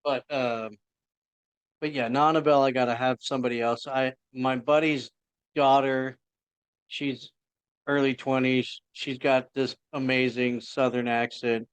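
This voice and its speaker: Smooth-voiced man chatting casually. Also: medium pitch, flat delivery, unhurried, dry recording. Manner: rambling, self-interrupting storyteller. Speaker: indie author guest. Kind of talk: casual podcast chat.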